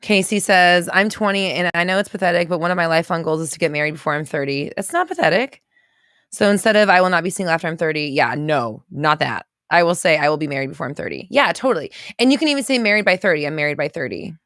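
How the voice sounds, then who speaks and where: A woman in her thirties hosting a casual livestream, reading viewer comments aloud and reacting with quick laughs and exclamations. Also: fairly low pitch, animated, a bit bright, dry recording, studio quality. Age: 30s.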